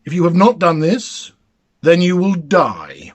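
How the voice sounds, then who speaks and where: A man voicing a greedy, commanding king in a storybook reading, with imperious, measured delivery. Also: fairly high pitch, sing-song, husky, pitched above the performer's own voice, full character voice. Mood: threatening, commanding.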